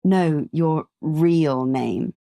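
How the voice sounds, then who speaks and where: A woman's voice: deep voice, drawn-out words, gravelly, mellow, exaggerated voice. A woman voicing a smug rich male Tyrannosaurus office worker with a breezy, condescending manner in a narrated story.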